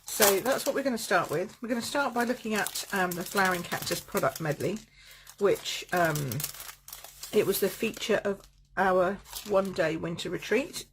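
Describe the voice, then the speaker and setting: A woman with a croaky voice from a cold, chatting through a live card-making demonstration and greeting viewers by name. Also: mid-range voice, normal speed, background noise.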